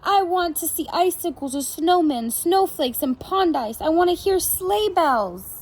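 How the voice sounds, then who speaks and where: A woman's voice: very high voice, animated, measured pace, a little mellow, exaggerated voice. A woman voicing a small, sleepy and stubborn male hedgehog from a storybook, speaking earnestly and insistently. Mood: protesting.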